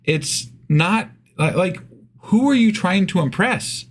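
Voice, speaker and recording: A man's voice: high voice, animated, takes it slowly. A man co-hosting a podcast, chatting casually in a rambling, hedging, informal way. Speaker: podcast co-host. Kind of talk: casual chat.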